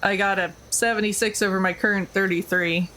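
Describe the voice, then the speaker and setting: A woman with a bright, forward voice at a middle pitch plays a tabletop roleplaying game. Her talk is casual and joking, recorded with a little background noise. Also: steady tone, a little fast, poor audio.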